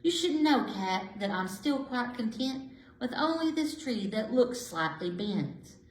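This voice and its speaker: Kindly elderly storybook woman female reader acting, gentle, content, highly melodic delivery, roomy sound. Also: animated, a little slow. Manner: gentle, reassuring, reminiscing. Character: kindly elderly woman, lonely yet content, comforting a cat. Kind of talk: rhyming picture-book storytelling. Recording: roomy, echoey indoor sound.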